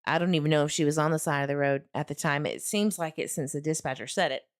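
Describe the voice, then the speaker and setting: A woman's voice: low-pitched, fast talker. A woman hosting a true crime livestream, commenting casually and earnestly as she talks directly to her viewers.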